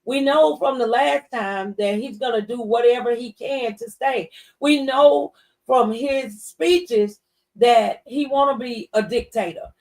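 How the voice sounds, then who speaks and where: A woman's voice: on the high side, a little slow, darkish tone, distant mic, low-quality recording. A mature woman in a faith-based conversation, speaking earnestly and a little like a preacher, repeating phrases for emphasis. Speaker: talk show guest.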